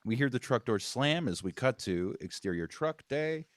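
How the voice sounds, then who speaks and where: A man's voice: medium pitch, lively intonation, moderate pace, no room sound, studio quality. A man reading screenplay stage directions aloud as scripted narration at a table read.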